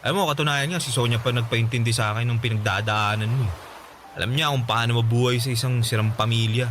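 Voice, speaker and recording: An actor playing a loyal, consoling young friend in a Filipino radio drama, speaking casually with a Filipino accent. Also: male voice, medium pitch, fairly even intonation, quick pace, a bit bright, a little hiss or hum, lightly acted. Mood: wistful, fond.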